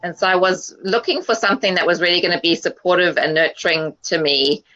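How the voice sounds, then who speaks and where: A woman from South Africa, a guest in a casual online panel chat, speaking hesitantly with many pauses and fillers. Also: on the low side, sharp tone. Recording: dull lo-fi web call audio.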